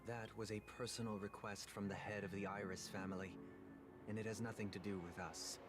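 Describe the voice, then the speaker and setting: A man's voice: on the low side, level tone, fairly quick, over music, lightly acted. An actor voicing a stern young man guarding a festival, commanding and curt.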